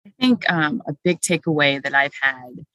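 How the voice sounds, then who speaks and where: A woman's voice: low voice, lively intonation, moderate pace, sharp tone, no echo, poor audio. A woman, a school psychologist, speaking casually on a panel with frequent ums.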